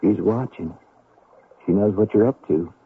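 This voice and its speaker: A man's voice: lowish voice, unhurried, gravelly, a little hiss or hum. A man playing a bitter, sarcastic grown son of a gold miner in a radio drama, with an accusing, dramatic delivery. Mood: hushed, tense. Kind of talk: 1970s radio drama acting. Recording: tinny band-limited old radio broadcast.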